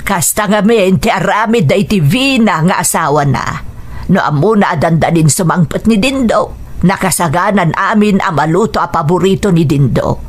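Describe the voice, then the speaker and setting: A woman's voice: fairly low pitch, normal speed, husky. An actress playing an exacting older mother-in-law in a radio drama, speaking in an insistent, admonishing manner. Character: exacting older mother-in-law, nagging about household duties.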